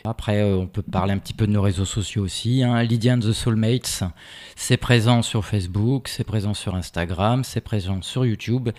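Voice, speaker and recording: A man's voice: on the low side, moderate pace, a little mellow. A man, a musician guest in a radio interview, talking about his album with frequent hesitations and fillers. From half Norwegian, half French. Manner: hesitant, many fillers and restarts.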